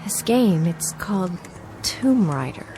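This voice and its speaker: A woman's voice: medium pitch, sing-song, slow pace, over music. An actress playing an anxious, bewildered young woman in a radio drama, hesitant and stammering yet insistent. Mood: cautiously probing.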